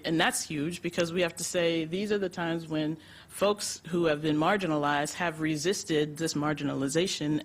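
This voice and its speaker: A woman from the United States, a nonprofit executive director, speaking on a conference panel in an animated, chatty way with lots of laughs and fillers. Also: low-pitched.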